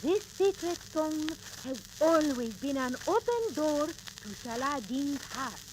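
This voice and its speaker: A woman's voice: high-pitched, expressive, darkish tone. Wise grave queen in a 30s radio play, drawn out and dramatic, very swoopy, scratchy record. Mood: secretive. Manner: slow, deliberate, theatrical. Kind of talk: 1930s radio drama acting. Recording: crackly, noisy 1930s transcription disc.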